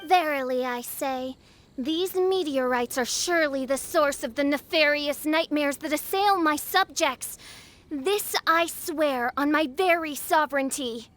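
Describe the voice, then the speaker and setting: A woman's voice: high-pitched, a little slow, a bit bright. A woman voicing a haughty teenage self-styled princess in a video game, theatrical and pompous, with archaic, flowery speech. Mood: grandiose.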